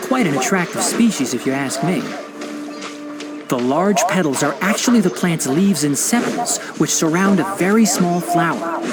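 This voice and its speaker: A man voicing a calm, learned young male forest ranger and botanist in a video game, explaining things in a patient, precise, lecturing way. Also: high-pitched. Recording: loud game soundtrack music behind.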